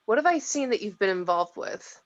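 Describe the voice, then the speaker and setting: A woman's voice: medium pitch, expressive, brisk, slight background noise, lightly acted. A woman playing a skeptical, exasperated mortal in a script table read, with a sarcastic manner. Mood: sarcastic, challenging. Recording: dull lo-fi home mic.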